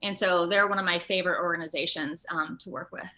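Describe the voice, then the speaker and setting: An American woman from Ohio talking casually as an interview guest, earnest and animated, rambling from point to point. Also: fairly low pitch, quick pace. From Ohio, United States. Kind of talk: casual online interview chat.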